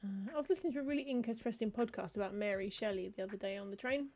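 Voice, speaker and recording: A woman talks through a cozy game in a relaxed, conversational way, often murmuring half to herself as she scans lists. Her voice is mellow and warm rather than bright, sitting at an ordinary middle pitch, and she adds dry little asides. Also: very fast. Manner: chatty, wry, muttering lists of titles to herself. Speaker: streamer playing a cozy game. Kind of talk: casual gameplay commentary, reading game text aloud.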